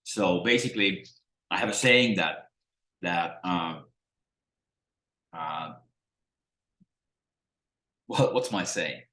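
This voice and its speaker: Man, middle-aged, low-ish voice, halting restarts, echoey room. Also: bright, forceful tone. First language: Finnish. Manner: halting, musing, many restarts and trailing off. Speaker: spiritual teacher. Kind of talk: unscripted talk to camera. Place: small room at home, indoors. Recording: roomy echo.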